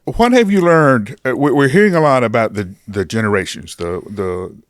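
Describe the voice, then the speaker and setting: A man's voice: mid-range voice, animated, moderate pace, no echo. A middle-aged man hosting a casual interview, asking questions and affirming his guest. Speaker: interview host, pastor.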